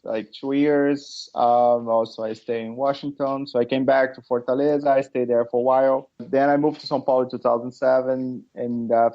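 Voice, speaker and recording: A middle-aged man from Brazil with a Brazilian accent, a podcast guest talking casually, halting with many fillers and restarts. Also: mid-range voice, little pitch movement, creaky, dull audio. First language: Portuguese. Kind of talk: casual interview chat.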